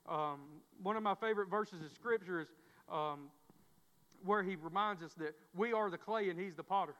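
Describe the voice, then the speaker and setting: A man's voice: high-pitched, fairly bright tone. A man preaching a sermon, with frequent repeated words and restarts from a stammer. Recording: dull sound, long church reverb.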